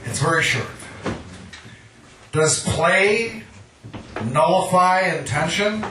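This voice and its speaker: A man's voice: high-pitched, expressive, takes it slowly, far from the mic, background noise. A man giving a live poetry reading, as a poet and host of the night. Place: live reading venue, indoors. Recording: echoey room, picked up from afar.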